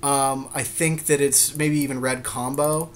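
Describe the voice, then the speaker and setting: A man's voice: medium pitch, little pitch movement, measured pace, a little hiss or hum. A man co-hosting a casual podcast, easygoing and agreeable as he weighs card picks. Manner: agreeable, easygoing, backs up his partner. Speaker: podcast co-host. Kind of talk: casual chat while drafting a card game.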